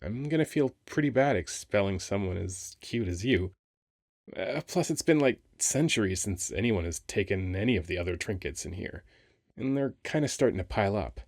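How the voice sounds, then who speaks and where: Man playing a low-voiced, wry, flirty sphinx. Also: expressive, darkish tone, dry recording, clean studio sound. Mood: reluctant, flirty. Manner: casual, rambling, halting, wry. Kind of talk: ASMR roleplay monologue.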